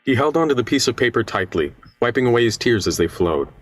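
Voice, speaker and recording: A man's voice: mid-range voice, big pitch swings, fast, no room sound, recorded from a distance. A man giving scripted dramatic narration for a radio play.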